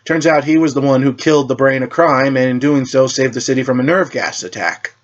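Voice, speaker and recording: A man voicing an assured, cryptic leader of a superhero team, speaking in a measured, formal way. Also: medium pitch, lo-fi sound, natural voice.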